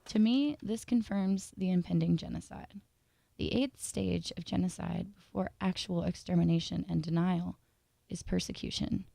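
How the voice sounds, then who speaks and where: A woman reading a scripted analytical video essay in a measured, formal way. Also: lowish voice, gravelly, mellow, no room sound.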